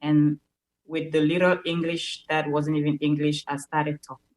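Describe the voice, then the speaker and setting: A woman's voice: low-pitched, little pitch movement, normal speed, a little mellow, poor audio. A young woman from Cameroon with a Cameroonian French accent, a native French speaker, earnestly telling a personal story as a radio show guest and repeating words as she searches for them.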